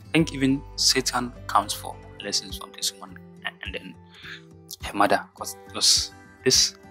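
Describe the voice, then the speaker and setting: A man's voice: on the low side, normal speed, bright, forceful tone. A man delivers an indignant reaction vlog from a sofa indoors. He repeats himself as he rants. Faint music plays underneath his voice. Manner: indignant, repetitive ranting. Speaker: YouTube commentator.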